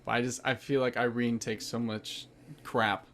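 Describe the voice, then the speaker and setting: A man's voice: medium pitch, little pitch movement, normal speed, fairly bright tone. Male cast member, hesitant then earnest, light background hum. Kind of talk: casual group chat.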